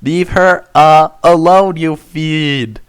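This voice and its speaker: A man voicing a timid but earnest young male troll, halting and stammering, in a comedic story reading. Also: a little high, clean tone, pitched above the performer's own voice. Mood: indignant. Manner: halting, filled with "uh", protesting. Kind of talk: comedic dramatic reading of fan fiction.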